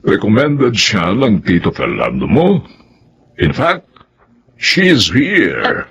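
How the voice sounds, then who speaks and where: Raspy, gravelly older father. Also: on the low side, wildly swooping pitch, takes it slowly, a bit bright, lo-fi sound, full character voice. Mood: fatherly. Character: fatherly older widowed businessman, gentle with his daughter. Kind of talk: Filipino radio drama acting.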